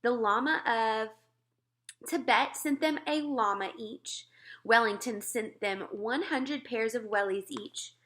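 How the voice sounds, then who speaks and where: A woman reading a children's book aloud as scripted narration, expressive and clearly phrased. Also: on the high side. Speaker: storybook reader.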